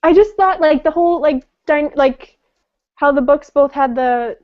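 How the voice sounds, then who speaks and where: An eighteen-year-old woman talks books in a halting way, often restarting as she looks for words. Her voice is high. The sound is muffled and lo-fi. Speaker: book club guest. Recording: dull lo-fi webcam audio.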